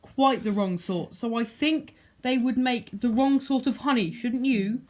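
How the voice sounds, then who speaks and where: A woman voicing an earnest, anxious, silly little toy bear from a children's storybook, speaking in solemn, deliberate pronouncements. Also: on the high side, darkish tone, natural voice. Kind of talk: children's storybook character reading.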